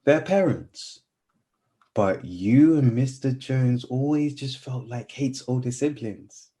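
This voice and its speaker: An actor playing an earnest, polite young family friend in a radio play, speaking in a hesitant, apologetic, wistful way. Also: male voice, mid-range voice, slow, close to an everyday voice. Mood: awkward, sincere. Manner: hesitant, apologetic, soft-spoken.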